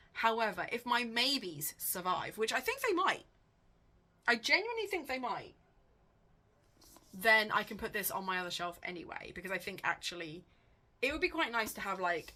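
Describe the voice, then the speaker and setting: A woman book vlogger chatting casually and thinking aloud, with a wry, animated, self-questioning way of talking. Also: mid-range voice.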